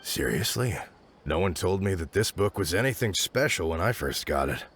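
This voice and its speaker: A man's voice: deep voice, lively intonation, moderate pace, noisy background. A man voicing an unassuming secondhand seller in a video game, speaking casually and hesitantly. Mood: sheepish, surprised. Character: unassuming, hesitant male secondhand market seller, mild and uncertain.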